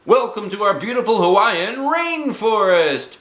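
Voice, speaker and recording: Man acting an upbeat, bright-voiced tour guide. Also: high voice, big pitch swings, a little slow, echoey room, slight background noise, voice pushed up, full character voice. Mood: cheerful. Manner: formal, announcing, polite. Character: earnest, upbeat male rainforest hiking tour guide.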